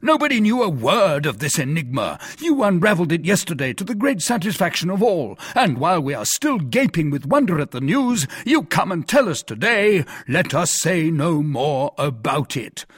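A man voicing a boastful, self-satisfied musketeer who blusters in loud, theatrical exclamations in an audiobook adventure. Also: fairly high pitch, sing-song, moderate pace, slightly rough, no echo, studio quality. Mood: indignant.

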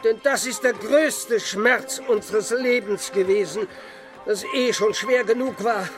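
A high-pitched, ranting old peasant father. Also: a little slow, over music. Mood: aggrieved, lamenting. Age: older. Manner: ranting, scolding. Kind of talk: German radio play acting.

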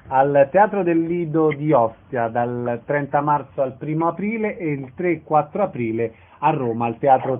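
A man with a slightly high-pitched voice hosts a radio interview. He moves between announcing events and asking his guests questions. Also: phone-quality sound, slight background noise.

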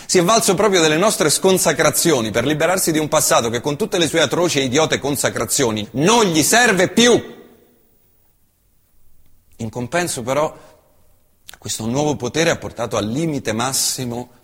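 A male actor performs a theatrical monologue on stage, his voice husky and mid-pitched. He declaims with passion, sinking to near-whispers and climbing to forceful peaks. The sound carries a long reverb from the theatre hall. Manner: impassioned declamation swinging from hushed to loud. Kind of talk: theatrical monologue reciting an essay.

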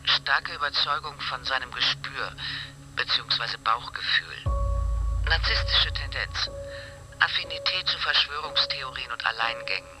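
An actor playing a detached clinician dictating patient notes in clipped, factual phrases for a radio drama. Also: male voice, mid-range voice, measured pace, music in the background, lightly acted, poor audio.

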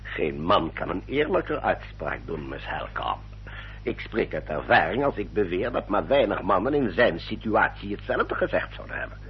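A man playing an older, formal Victorian gentleman adviser in a Dutch radio drama, rough-voiced, deliberate and emphatic. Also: low voice, very animated, a little hiss or hum. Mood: judicious, assured. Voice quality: husky, rough. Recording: dull, muffled old radio recording.

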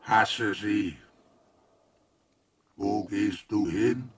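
A depressed robot for radio drama, super slow, husky voice, deadpan and fed up with everything. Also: male voice, fairly low pitch, full character voice. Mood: weary contempt. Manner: deadpan, terse, morose. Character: gloomy, bitter robot, weary and contemptuous. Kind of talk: German radio drama acting.

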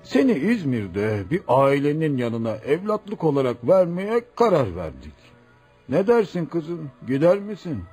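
Weary old village elder, higher-pitched. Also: male voice, big pitch swings, measured pace, mellow, slightly rough, faint music behind, heavily acted. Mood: paternal, discouraging. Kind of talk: radio theatre acting.

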